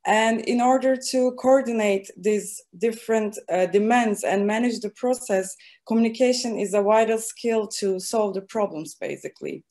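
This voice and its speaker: A woman's voice: medium pitch, normal speed, a little mellow. Young woman, student on a webcam call, careful and filler-laden answers. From Turkey. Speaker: graduate student. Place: home room, plain wall.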